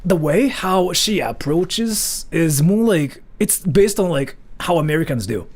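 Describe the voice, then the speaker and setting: A man's voice: on the high side, animated, measured pace. A man from Japan, rambling through a casual story on a livestream with lots of filler words. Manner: rambling, heavy use of "like" fillers. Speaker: streamer.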